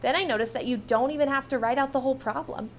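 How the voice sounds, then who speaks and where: A woman reading a children's storybook aloud as first-person narration, expressive and emphatic. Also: high-pitched, quick pace, slight background noise. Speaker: storybook reader.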